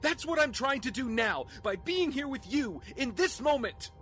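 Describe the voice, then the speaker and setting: A man's voice: very high-pitched, very animated, normal speed, a bit bright, faint music behind, exaggerated voice. An actor playing an earnest, guilt-ridden young male hero in an audio drama, pleading emphatically. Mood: impassioned, pleading.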